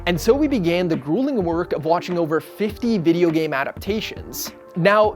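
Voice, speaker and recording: Casual video essay narrator over music. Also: mid-range voice. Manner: casual, conversational phrasing. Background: background music.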